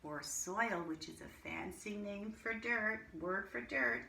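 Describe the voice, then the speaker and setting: A woman teacher reading and explaining to young children in simple, deliberate language with questions. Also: mid-range voice, lively intonation, pushed and bright. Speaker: early-grade teacher reading a picture book aloud to children. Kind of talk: storybook read-aloud and children's lesson. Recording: roomy echo, clear background noise.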